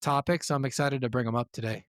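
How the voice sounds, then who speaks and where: A man's voice: mid-range voice, fairly even intonation, fast talker, dry recording, studio quality. A man co-hosting a podcast, chatting casually with informal, profane language. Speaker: podcast co-host. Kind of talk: casual chat.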